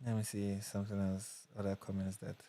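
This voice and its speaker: A man's voice: fairly low pitch, completely flat delivery, a little fast, warm tone. A young Nigerian man hosting a casual livestream, reading viewer comments and chatting with restarts and repeats. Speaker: livestream host.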